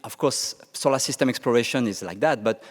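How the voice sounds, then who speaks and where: A man with a French accent speaks at a conference panel in a middle-pitched voice. He halts and restarts often, filling gaps with "uh". The long reverb of a large hall trails behind him. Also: a little fast. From France. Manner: hesitant, many restarts and fillers. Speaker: panel speaker, Mars climate scientist.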